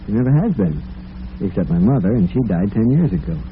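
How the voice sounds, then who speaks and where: An actor playing a kind, earnest bachelor in an old-time radio drama, courteous and reassuring. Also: male voice, lowish voice, expressive, brisk, phone-quality sound, close to an everyday voice. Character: kind, earnest bachelor wooing a young woman. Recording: humming, noisy old radio recording.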